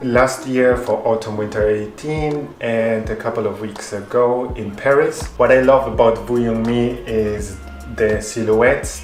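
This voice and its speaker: A man in his twenties, a native Spanish speaker raised in Germany, reading out fan questions and answering them calmly in a vlog. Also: mid-range voice, reverberant. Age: 20s. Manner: reads each question aloud, then answers in a calm, even way. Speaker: fashion YouTuber. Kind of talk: Q&A vlog narration.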